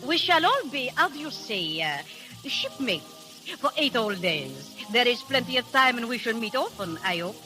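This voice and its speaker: A high, lilting woman's voice with a French accent, bright and very lively. She is a coy, scheming charmer from a 1930s radio play, feeling her way through English. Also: normal speed. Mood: coy, flattering. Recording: old 1930s radio recording, faint music.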